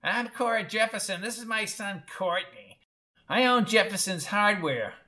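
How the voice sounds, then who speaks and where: A man voicing a sneering, sharp-tongued little old woman with a scratchy, screechy voice. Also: high-pitched, animated, measured pace, voice pushed up, exaggerated voice. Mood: sneering, scornful.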